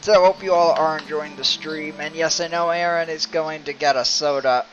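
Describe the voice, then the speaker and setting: A man's voice: on the high side, steady tone, moderate pace, a bit bright, highs cut off, a little hiss or hum. A man streaming a video game with casual live commentary. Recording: game music behind the voice.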